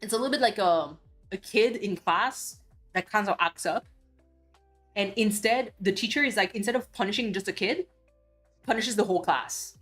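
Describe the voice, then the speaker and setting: Woman, from Belgium, streamer, casual chat, blunt heated rant, mid pitch, soft music under. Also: normal speed. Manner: blunt, heated ranting with cursing and restarts.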